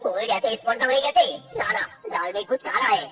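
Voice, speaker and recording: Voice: medium pitch, lively intonation, quick pace, a bit bright, far from the mic, faint music behind, close to an everyday voice. An actor voicing a suspicious, indignant cartoon tiger uncle who demands answers. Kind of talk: cartoon voice acting.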